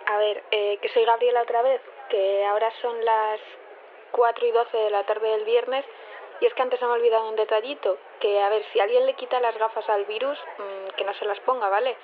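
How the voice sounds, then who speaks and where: A friendly, scatterbrained woman engineer from an audio drama, rambles through a voicemail in a Spain accent. Her voice is fairly high, over a tinny phone line with faint noise. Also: fairly even intonation, a little fast. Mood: chatty, matter-of-fact. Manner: chatty, rambling, hesitant, colloquial. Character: scatterbrained, friendly female lab engineer leaving community voicemail. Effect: phone filter. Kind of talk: casual voicemail in audio fiction.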